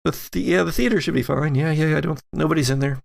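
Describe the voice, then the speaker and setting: A man's voice: on the high side, quick pace, gravelly, pitched above the performer's own voice. A man voicing an awkward, nervous female doppelganger in a tabletop game, hesitant and stammering. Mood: confused, flustered. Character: awkward nervous female doppelganger made of slosh, theater keeper.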